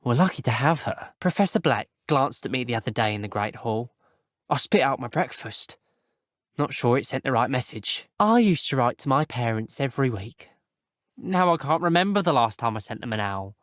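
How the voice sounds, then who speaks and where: A man's voice: medium pitch, expressive, moderate pace, a bit bright, no echo, clean studio sound, natural voice. A man voicing an earnest, chatty teenage wizard schoolboy in a video game, with a British accent and a polite, wry, old-fashioned turn of phrase. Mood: earnest, conversational. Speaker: voice actor. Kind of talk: scripted video game character voice clips.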